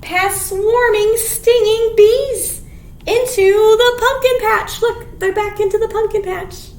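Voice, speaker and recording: A woman's voice: very high voice, fairly even intonation, takes it slowly, darkish tone. A woman presenting a storytime for young children, reading picture books and rhymes aloud in an exaggerated, sing-song way. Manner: sing-song, exaggerated, with dramatic gasps and simple explanations for little ones. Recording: roomy echo, clear background noise.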